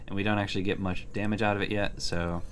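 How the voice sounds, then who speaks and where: A man's voice: on the low side, flat delivery, fast talker. A man giving casual gameplay commentary, thinking aloud as he plays. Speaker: host playing a card game.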